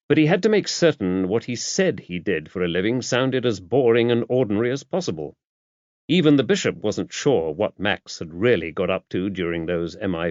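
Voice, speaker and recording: A man's voice: fairly low pitch, darkish tone, dry recording. A man narrating a mystery novel as scripted audiobook reading. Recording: dull, muffled lo-fi audio.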